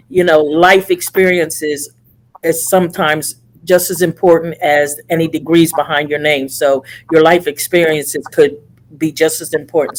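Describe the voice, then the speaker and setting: A woman's voice: low voice, poor audio. A woman from New Jersey, a co-host, speaking plainly with many ums and uhs. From Camden, New Jersey. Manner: frequent fillers, plainspoken. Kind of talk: casual self-introduction.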